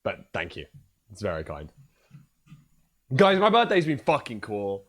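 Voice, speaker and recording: Young man, hoarse, joking casual streamer chat, swearing. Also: lowish voice, huge pitch swings, unhurried, fairly bright tone. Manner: joking, trash-talking, swears a lot.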